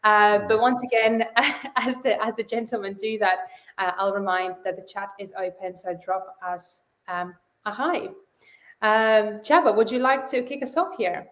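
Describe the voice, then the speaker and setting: A woman's voice: mid-range voice, unhurried, fairly bright tone, reverberant, a little hiss or hum. A woman hosting a live online panel, welcoming viewers and framing the topic with many fillers and restarts. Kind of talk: live webinar moderation.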